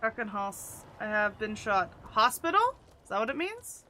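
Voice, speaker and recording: A woman's voice: medium pitch, lively intonation, moderate pace, sharp tone, a little hiss or hum. A woman streaming a video game, chatting casually and playfully, often laughing at herself. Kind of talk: casual gameplay commentary.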